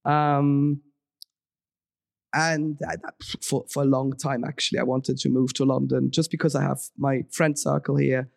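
Young Swiss guy, podcast guest, fry in the voice, loose rambling talk, dead dry mic. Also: mid-range voice, level tone, a little slow, dry recording. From Switzerland. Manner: rambling, many ums and restarts. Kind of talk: casual interview talk.

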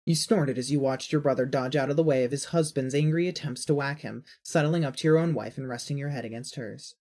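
Husky story narrator, medium-low pitch. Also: fairly quick, darkish tone. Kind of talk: scripted second-person story narration.

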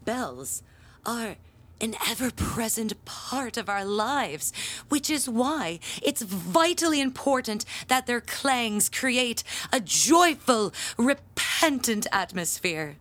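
An actress playing a proud, pompous handbell ringer, telling family lore in grandiose audio drama style. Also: female voice, fairly high pitch, big pitch swings, slow, fairly bright tone, full character voice. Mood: indignant. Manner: grandiose, theatrical storytelling.

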